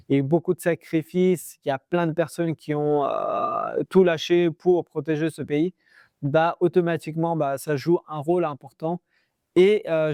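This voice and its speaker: A young man with a slightly raised pitch talks earnestly to the camera, giving expat advice in a loose, unscripted way full of fillers and restarts. The sound is dry and close, with almost no room echo. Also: darkish tone. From Pakistani heritage, living in Pakistan. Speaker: vlogger sharing expat advice. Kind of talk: casual talk to camera.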